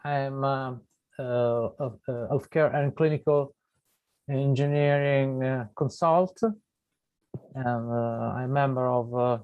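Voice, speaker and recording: A man introducing himself haltingly in an online meeting. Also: medium pitch, level tone, smooth, dry recording. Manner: halting, stumbling. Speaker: healthcare consultant. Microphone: video call. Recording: phone-like video call audio.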